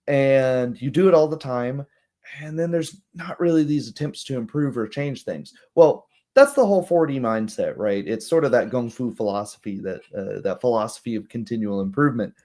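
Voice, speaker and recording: A young man hosting a livestream with casual commentary, speaking in a rambling, halting way and often checking in with tag questions. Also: medium pitch, expressive, mellow. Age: young adult. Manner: rambling, halting asides, frequent "right?" tags. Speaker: livestream host.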